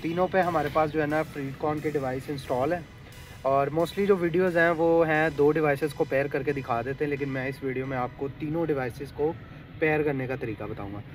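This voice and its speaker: Male tutorial host, slightly high voice, casual and explanatory, English words mixed in, music clearly behind. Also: a little fast. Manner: explanatory, mixes English words into his speech. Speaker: motovlog host giving a product tutorial. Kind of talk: casual step-by-step how-to narration. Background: background music.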